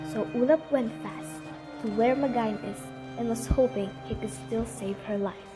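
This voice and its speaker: A woman giving scripted storytelling narration for an amateur radio drama. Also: mid-range voice. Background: music.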